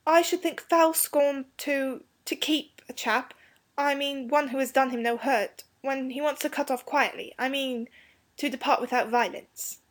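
A woman's voice: on the high side, lively intonation, moderate pace, pitched above the performer's own voice. A woman voicing an eager young English schoolboy in a storybook, earnest and hasty, stumbling over his slangy words. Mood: bold. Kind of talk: storybook character dialogue.

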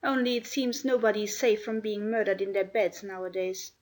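A woman's voice: medium pitch, brisk, darkish tone, natural voice. A woman doing a gossipy country housemaid. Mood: dark gossip. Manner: country speech, darkly dramatic gossip. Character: gossipy, dramatic female housemaid.

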